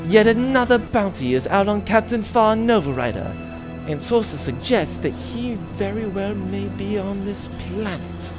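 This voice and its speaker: A man's voice: high voice, lively intonation, unhurried, over music. Man playing a pompous, swooping aristocratic bounty hunter. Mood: grandly boastful. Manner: pompous, florid formal phrasing. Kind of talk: audio comedy adventure acting.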